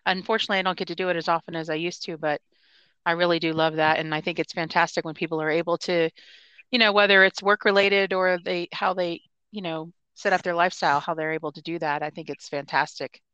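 A woman's voice: lowish voice, steady tone, fast talker, fairly bright tone, clear, resonant tone, no room sound, dull audio. A woman from the United States hosting a podcast interview, upbeat and chatty. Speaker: podcast host.

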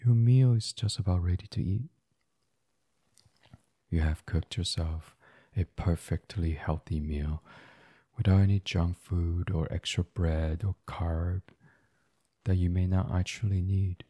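A man with a low, very breathy and husky voice leads a sleep meditation. He speaks gently and very slowly in an ASMR style. The sound is dry and close. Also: fairly even intonation, dry recording. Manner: gentle, soothing, unhurried, breathy. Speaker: guided meditation host. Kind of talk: soft guided sleep meditation, ASMR reading.

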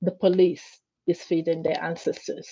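A woman whose first language is Greek, a guest in an interview who tells stories in an animated way and acts out the voices she quotes. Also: fairly low pitch, moderate pace, husky, no room sound, muffled recording. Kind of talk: conversational interview.

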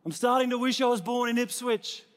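An Australian man preaches in a strikingly high voice that rings with the long reverb of a hall. He sounds like a pastor talking plainly to his congregation, poking fun at himself and stacking repeated phrases to make his points. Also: a little fast. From New South Wales, Australia. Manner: conversational, self-deprecating, repeats phrases in lists. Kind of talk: sermon to a congregation.

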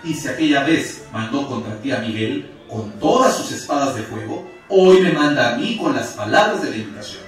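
A man's voice: mid-range voice, expressive, moderate pace, few pauses, lightly acted. Guy doing a solemn pleading angel in a radio drama, preachy, super echoey and kinda far off. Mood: grave, persuasive. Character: solemn pleading male angel, God's messenger. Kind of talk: radio theatre acting. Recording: very echoey, distant, music underneath.

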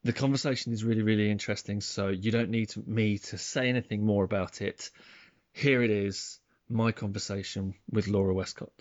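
A man hosting a podcast, introducing his guest in a relaxed conversational way. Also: fairly low pitch, fairly quick. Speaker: podcast host. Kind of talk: podcast interview. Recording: dull lo-fi recording.

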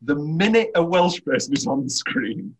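A British man hosting a casual film podcast, talking in a teasing, opinionated, animated way. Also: a little high, moderate pace, gravelly, a little hiss or hum, rough recording. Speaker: podcast host.

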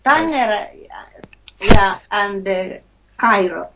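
A woman from Finland with a Finnish accent, chatting casually about a film, searching for words and pausing often. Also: medium pitch, expressive, background noise. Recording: dull lo-fi recording.

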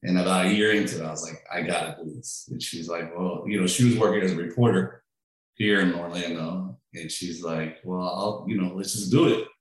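A man from Peru, a chef who runs a food truck, answering interview questions in a relaxed, chatty way, often restarting his sentences. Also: sits low, a little fast. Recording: very echoey room.

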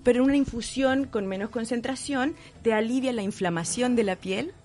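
A Chilean woman talking as a guest in a relaxed radio interview. She explains things eagerly and laughs now and then. Faint music plays under her voice. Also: fairly high pitch, a little fast. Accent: Chilean Spanish. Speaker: guest entrepreneur.

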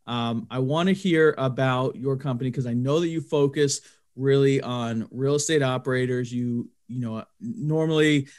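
A man hosting a casual podcast interview, talking in a relaxed, friendly way with fillers. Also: mid-range voice, normal speed. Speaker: podcast host.

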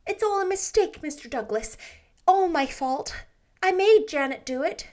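A woman's voice: very high-pitched, expressive, moderate pace, a little mellow, pitched above the performer's own voice. A woman voicing a spirited young woman who pleads and urges emphatically in a storybook reading. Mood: imploring.

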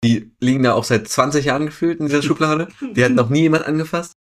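A man's voice: a little high, quick pace. A man in his twenties chatting casually as a podcast co-host. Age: 20s. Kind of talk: casual chat.

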